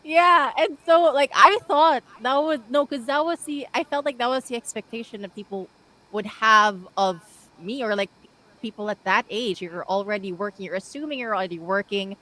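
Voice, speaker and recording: A woman's voice: fairly high pitch, normal speed, bright, forceful tone, rough recording. A woman in her thirties with a Filipino accent, hosting a radio talk show, chatty and laughing easily, mixing in Tagalog phrases. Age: 30s. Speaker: radio host. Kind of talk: casual radio interview.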